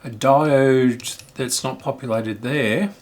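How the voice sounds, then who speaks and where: A man's voice: medium pitch, slow, a little mellow. A middle-aged man giving casual repair commentary as a hobbyist host, thinking aloud in a hesitant, musing way.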